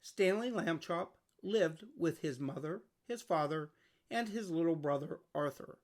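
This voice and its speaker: A storybook narrator reading aloud for children. The voice sits at a medium pitch and has clear vocal fry in it. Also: lively intonation, measured pace. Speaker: storybook reader.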